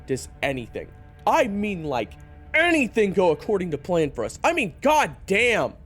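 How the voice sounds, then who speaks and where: A man's voice: sits high, huge pitch swings, normal speed, a bit bright, full character voice. A man playing a loyal, hot-headed swordsman who narrates a fantasy story in the first person, with dramatic emotion. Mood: distraught, outraged. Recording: echoey, reverberant, music underneath.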